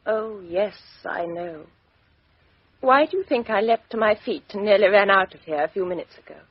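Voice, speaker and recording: An actress playing a proud, bitter young English woman in a 1950s radio drama, sharp and emotional. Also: medium pitch, lively intonation, normal speed, slightly rough, dry recording. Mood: composed. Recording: dull lo-fi 1950s radio recording.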